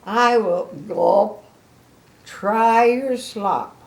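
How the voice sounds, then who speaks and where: A woman puts on a nervous, good-hearted boy elephant from a storybook. Words come out very slowly and haltingly, each one leaned on with reluctant emphasis. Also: medium pitch, vocal fry, reverberant, low-quality recording. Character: anxious, reluctant, kindly male elephant friend. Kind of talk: animated children's picture-book read-aloud.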